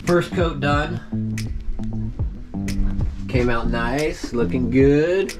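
A relaxed man in his thirties talks through boat repairs while he works, laughing easily. His voice sits in an ordinary middle pitch. He is picked up by an action camera in a cramped boat cabin, with clear music playing underneath. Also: very slow. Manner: easygoing, laughs often, explains as he works. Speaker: boat restoration vlogger. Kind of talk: casual hands-on narration. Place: small boat cabin, indoors.

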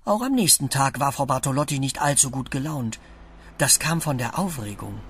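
A woman's voice: rumbling low, lively intonation, moderate pace, slight background noise. A woman narrating a children's story from a script. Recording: loud music under narration.

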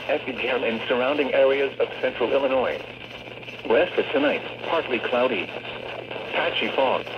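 A synthetic text-to-speech man reading scripted weather forecasts as an automated radio announcer, in a stilted delivery. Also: medium pitch. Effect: synthetic voice over weather radio. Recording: muffled, noisy weather radio speaker.

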